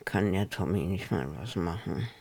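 A woman's voice: extremely low pitch, animated, normal speed, a little mellow, husky, exaggerated voice. An actress playing an ailing, stubborn old village farm woman, weak and muttering, in a naturalistic radio drama. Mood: querulous, weary. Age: older. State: weak, bedridden.

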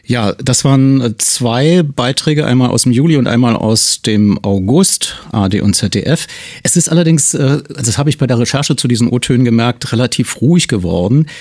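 A male radio host speaks at a medium pitch in a studio. He chats informally and wanders into asides as he leads a casual interview. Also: lively intonation, normal speed, a little mellow. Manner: chatty, informal, rambling with asides.

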